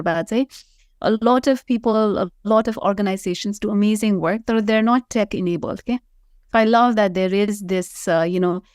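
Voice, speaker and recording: A woman podcast host speaks in a conversational interviewing style. Her voice is clear and smooth, in a mid-range pitch, with a dry, close sound. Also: a little mellow, no room sound.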